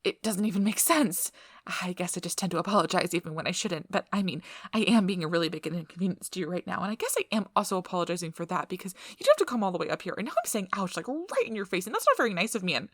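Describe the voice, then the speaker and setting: A woman playing a nervous, apologetic, injured young field researcher in an ASMR audio roleplay, breathy and hesitant, stammering as she goes. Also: fairly low pitch, lively intonation, fast, no echo. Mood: pained, flustered, then nervous, earnest.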